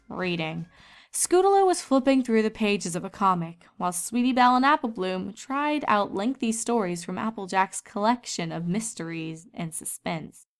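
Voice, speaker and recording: A woman reading a story as scripted narration. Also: medium pitch.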